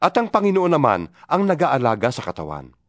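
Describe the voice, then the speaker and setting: A man's voice: mid-range voice, huge pitch swings, a little fast, dry recording, clean studio sound. A man giving a dramatized, earnest reading of scripture, preaching with rhetorical questions. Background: background music.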